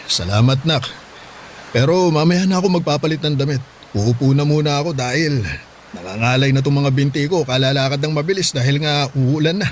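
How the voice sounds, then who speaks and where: A man's voice: a little high, fairly quick, a little hiss or hum, close to an everyday voice. A man playing a hardworking middle-aged father in a Filipino radio drama, plain-spoken and reassuring, with a Filipino accent. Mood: weary, easygoing. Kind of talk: radio drama acting in Tagalog.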